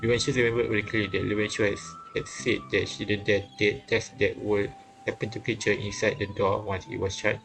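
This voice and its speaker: A man reading and translating a visual novel aloud, haltingly, often correcting himself and repeating phrases. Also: on the low side, little pitch movement, creaky, rough recording. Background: game music.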